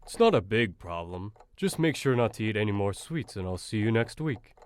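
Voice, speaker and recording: A man's voice: lowish voice, expressive, moderate pace, darkish tone, slight background noise, lightly acted. A man playing dismissive, matter-of-fact supporting characters in an acted audio drama. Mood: reassuring. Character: male supporting characters: teasing boys and a dentist.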